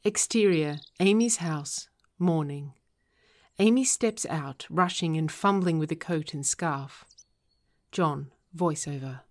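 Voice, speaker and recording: A woman's voice: low voice, slow pace. A woman reading stage directions as scripted narration for a drama.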